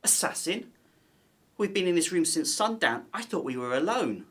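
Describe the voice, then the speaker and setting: A man's voice: fairly high pitch, big pitch swings, moderate pace, fairly bright tone. A man playing an anxious, wary conspirator in an ancient Egyptian palace, in radio drama style. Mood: uneasy.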